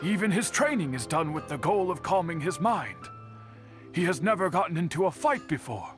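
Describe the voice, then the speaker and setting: A man playing a dignified older swordsmith uncle in a video game, speaking in a measured, formal and earnest way. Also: on the high side, sing-song. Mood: shocked, protesting. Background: background music.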